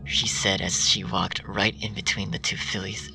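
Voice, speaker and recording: A man reading a dark story aloud in a hushed, half-whispered narration. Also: on the low side, flat delivery, normal speed, vocal fry. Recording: distant mic with music behind.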